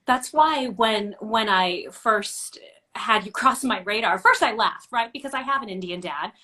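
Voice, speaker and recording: A woman broadcaster hosting a casual interview, chatting and telling stories in an animated way. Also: mid-range voice, a little slow.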